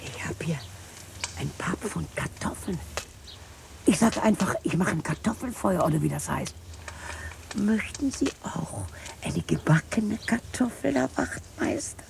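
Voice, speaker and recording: An actress in a radio drama playing a lonely, eccentric, defiant widow of about sixty who talks to herself theatrically in a husky, breathy voice. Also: female voice, lowish voice, sing-song, unhurried, noisy background, heavily acted. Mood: muttering, wistful. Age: 60s. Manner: theatrical, muttering to herself, swinging into shouts. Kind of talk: radio drama monologue acting.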